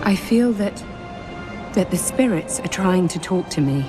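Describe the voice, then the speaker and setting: The ghost of a beloved wife in a dark game drama. She is gentle but frightened, speaking in a tender, faltering, haunted way. Very loud music surrounds her. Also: fairly low pitch, expressive, a little slow. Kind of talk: dramatic cinematic game acting.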